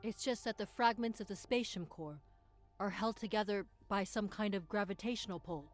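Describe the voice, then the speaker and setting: A serious female captain in a sci-fi story game, as a woman narrator plays her. She speaks at a medium pitch, measured and authoritative, with light music behind. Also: close to an everyday voice. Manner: authoritative, measured, matter-of-fact.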